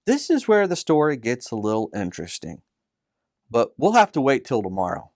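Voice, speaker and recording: A kindly, patient uncle voiced by a man reading a storybook explains things to children at a middling pitch. The sound is dry, with next to no room on it. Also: wildly swooping pitch, moderate pace, darkish tone, no room sound. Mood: patient, teasing. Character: kindly uncle telling Bible history to children.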